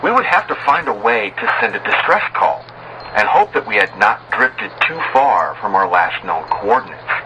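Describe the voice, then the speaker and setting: A man reading a first-person horror story as dramatic scripted narration, in a measured, solemn, literary manner. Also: fairly low pitch, sing-song, background noise.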